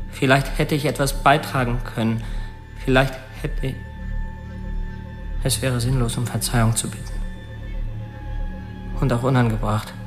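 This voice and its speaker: An actor playing a solemn young condemned murderer in a radio drama, speaking gravely and haltingly in penitence. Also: male voice, medium pitch, natural voice.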